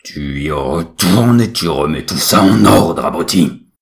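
A man voicing God as an all-powerful, angry father, with a deep, firm, roaring voice in an audio story. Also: a bit bright, full character voice. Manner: commanding, slow roaring delivery.

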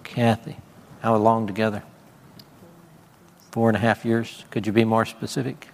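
A man's voice: lowish voice, little pitch movement, normal speed, creaky, crowd noise. A man praying fervently over a church congregation as visiting preacher, repeating his words. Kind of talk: prayer and sermon. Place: church service. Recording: reverberant church hall.

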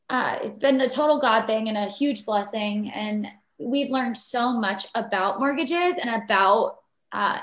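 An enthusiastic woman in her mid-twenties talks in a chatty, upbeat way, often repeating words for emphasis. Her voice sits a little high. The room gives her an echoey ring. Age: 20s. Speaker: podcast guest. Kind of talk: casual chat.

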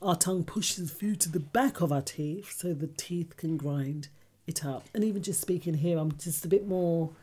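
A woman's voice: lowish voice, normal speed, dark tone, breathy edge. A woman hosting a radio chat show, talking casually and reading out facts.

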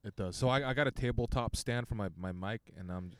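Deep-voiced young man, swearing freely. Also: fairly quick, no echo. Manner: animated storytelling, heavy swearing. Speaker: podcast guest and stand-up comic. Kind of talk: casual podcast chat. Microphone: handheld microphone.

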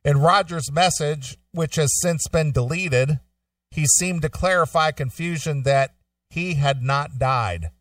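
A man with a somewhat high voice co-hosts a casual show, moving between reading stories aloud and loose, joking chat. The studio sound is dry and slightly distant from the mic. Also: unhurried, a bit bright, dry recording. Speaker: show co-host.

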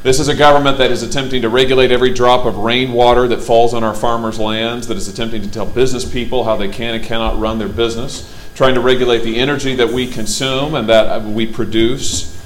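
A man speaks to a room as a candidate, driving each point home with forceful, emphatic stump-speech delivery. His voice sits at an ordinary middle pitch, and faint background noise runs under it. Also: fairly even intonation, fairly quick. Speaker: political candidate. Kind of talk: campaign forum speech.

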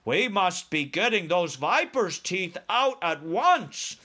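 A man voicing a kindly, crafty giant with deliberate, commanding delivery and quaint muddled grammar in a storybook. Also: high voice, lively intonation, bright, forceful tone, pitched above the performer's own voice, exaggerated voice. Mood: urgent, commanding.

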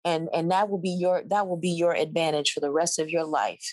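A woman guest with a fairly low voice, recorded close and dry. She tells her stories in an animated, wry way, with laughs running through them. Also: brisk, no room sound. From Mississippi, USA. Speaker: actor and guest. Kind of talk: storytelling in an interview.

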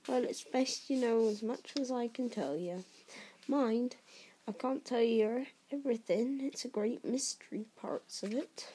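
A woman reader plays a big, gruff storybook giant with a kind heart. He talks slowly in a mellow voice, halting over rustic phrases, easily made anxious or indignant. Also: a little high. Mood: anxious, low.

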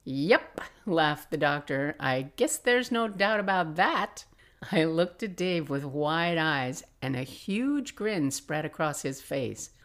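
A woman in her sixties with a low-pitched voice hosts a storytelling podcast. She reads her personal memoir expressively, with a reflective turn and wry asides. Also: expressive, slow pace, fairly bright tone. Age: 60s.

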